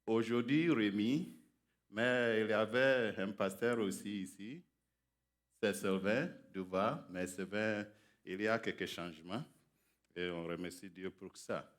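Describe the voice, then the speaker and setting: Slow, low-voiced preacher. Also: male voice. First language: English. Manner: halting, careful beginner's French. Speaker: guest preacher. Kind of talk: sermon introduction. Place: church sanctuary.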